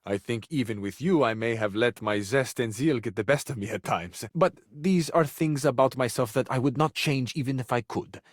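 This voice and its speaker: A man's voice: medium pitch, normal speed, few pauses, no echo, natural voice. A man performs a suave, theatrical Italian lover for a romance video game, a living cabinet full of feeling. His phrasing is courtly and flowery, sprinkled with endearments in an Italian accent. The mid-range male voice is recorded close and dry. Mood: warm, grateful.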